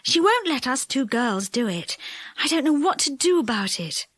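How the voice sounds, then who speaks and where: A woman's voice: sits high, very animated, normal speed, a bit bright, no room sound, studio quality, exaggerated voice. A woman voicing a loving, worried young girl with an earnest, tender storybook manner.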